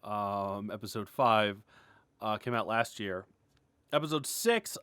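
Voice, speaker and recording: A man giving a casual, unscripted update as an animator, rambling and halting as he restarts his sentences, informal and candid. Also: fairly low pitch, fairly bright tone, no room sound. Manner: rambling, halting, self-interrupting, often chuckling and clearing throat; informal, mildly sweary, candid. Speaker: animator and web series creator.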